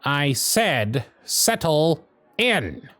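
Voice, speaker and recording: A man's voice: fairly high pitch, big pitch swings, drawn-out words, sharp tone, heavily acted. A man playing a firm yet fond, protective Christmas elf supervisor in a scripted ASMR roleplay, speaking in a bossy, teasing, affectionate way. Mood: commanding, irritated. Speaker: audio roleplay voice actor. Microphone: close, dry studio mic.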